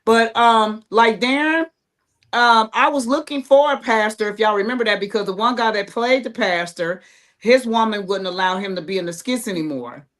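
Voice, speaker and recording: A woman's voice: fairly high pitch, moderate pace, a bit bright. Woman, American accent, playwright guest, casual chat, informal conversational delivery. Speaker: playwright and creator, interview guest.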